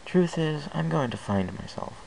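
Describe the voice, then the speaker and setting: A man's voice: fairly high pitch, lively intonation, normal speed, gravelly, darkish tone, pitched above the performer's own voice, low-quality recording. A man voicing a restless, self-doubting young male pony in an amateur story reading. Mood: resolute. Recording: hissy amateur home recording.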